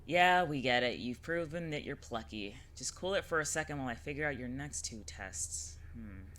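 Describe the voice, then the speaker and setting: Audio drama witch, woman actor: sharp-voiced grown woman, slangy, sardonic, short on patience. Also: extremely low pitch, lively intonation, normal speed, slight background noise. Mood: wry, irritated. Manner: wry, slangy, dismissive. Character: gruff, sardonic adult witch, easily exasperated.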